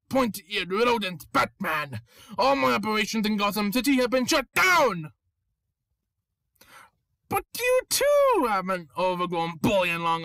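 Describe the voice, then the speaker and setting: A man voicing a gleeful, theatrical clown villain in a cartoon fan dub, mock-friendly and drawn-out. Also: high-pitched, sharp tone, dry recording, exaggerated voice. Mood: aggrieved.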